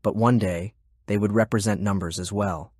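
A man's voice: lowish voice, steady tone, moderate pace, a little mellow, breathy edge, no room sound, studio quality. A man reading scripted war fiction as an audiobook narrator.

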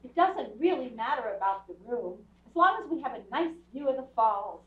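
A woman's voice: high-pitched, sing-song, brisk. A woman playing a chatty, romantic, scolding wife in an old-time radio comedy on stage. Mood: cheerful, chatty. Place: theatre stage, before an audience. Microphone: distant camera. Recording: muffled, echoey theatre stage from far off.

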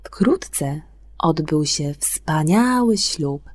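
Slow, expressive woman storyteller, lowish voice. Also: dry recording. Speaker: storyteller on a children's radio show. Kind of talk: scripted fairy-tale narration.

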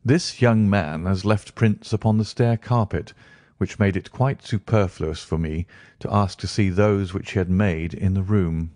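A calm, incisive detective lays out his reasoning in a low, measured voice. A man reads him in a dry, close audiobook recording. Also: dry recording. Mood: composed. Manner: measured, precise, cool.

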